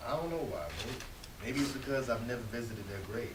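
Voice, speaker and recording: A male stage actor voices a weary, moody young man of earnest temper. His pitch varies a great deal, and the sound is roomy with noticeable background noise. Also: lively intonation, a little fast, natural voice. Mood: glum. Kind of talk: stage readers theatre acting. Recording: echoey distant room, clear background noise.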